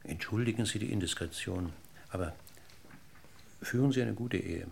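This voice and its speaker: Gravelly courteous, probing police inspector. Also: male voice, lowish voice, slight background noise. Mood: politely insistent. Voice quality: gravelly, husky. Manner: formally polite, deliberate, needling questions. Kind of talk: German radio crime drama acting.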